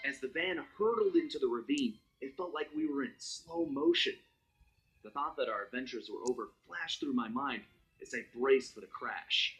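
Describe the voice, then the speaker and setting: Husky, animated thief storyteller. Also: male voice, mid-range voice, normal speed, faint music behind, heavily acted, rough recording. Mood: tense, concerned. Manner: dramatic, conspiratorial storytelling. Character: wry, cool male gang-leader thief recounting adventures. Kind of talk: storytelling narration for animated game cutscene.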